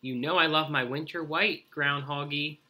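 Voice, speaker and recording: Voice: mid-range voice, steady tone, moderate pace, sharp tone. Reader putting on a flirty, coaxing storybook hare.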